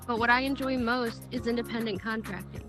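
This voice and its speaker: A woman giving a direct, emphatic talk, her voice sitting a little high. Music plays clearly behind her throughout. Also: steady tone, brisk. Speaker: event speaker. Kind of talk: prepared speech at a virtual event.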